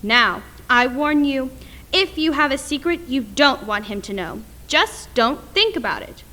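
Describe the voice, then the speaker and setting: A woman's voice: high-pitched, animated, measured pace, pushed and bright, heavily acted. A woman playing a grandiose nightclub announcer in a radio play, theatrical and proclaiming. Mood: showy. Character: grandiose nightclub announcer hyping a mind reader.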